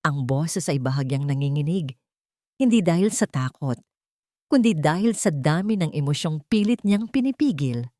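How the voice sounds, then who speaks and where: Woman story narrator, lowish pitch, dramatic scripted read, very dry recording. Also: animated, moderate pace, warm tone, no room sound.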